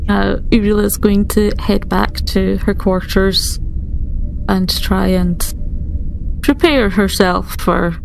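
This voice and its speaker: Slow, creaky-voiced woman narrating in a game. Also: mid-range voice, fairly even intonation, faint music behind, poor audio. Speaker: tabletop game player. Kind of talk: narrating her character's actions.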